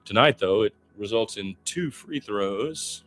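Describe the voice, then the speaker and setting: A man's voice: on the low side, lively intonation, measured pace, a bit bright, faint music behind, poor audio. A man doing live radio basketball play-by-play in a conversational, opinionated, exclamatory way.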